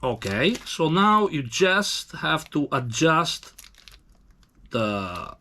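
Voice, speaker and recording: A man from Italy with an Italian accent, speaking halting, broken English as he gives a casual hands-on demonstration. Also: on the high side, big pitch swings, bright, forceful tone. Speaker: tattoo machine demonstrator.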